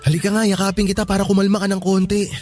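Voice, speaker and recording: An actor playing a calm, reassuring young male hospital worker in a radio drama, speaking soothingly and earnestly. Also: high voice, very fast, faint music behind. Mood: gently reassuring.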